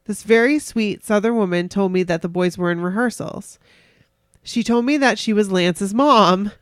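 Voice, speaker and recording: Giggly lady podcast host, gushy casual storytelling, average pitch, really dry sound. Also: moderate pace, clean tone, dry recording. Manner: giggly, gushing, reacts excitedly. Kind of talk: casual chat, reading listener stories aloud.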